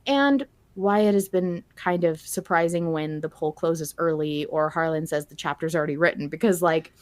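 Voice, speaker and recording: A woman's voice: sits low, normal speed, dry recording. A woman hosting a podcast in a casual, conversational style. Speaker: podcast host.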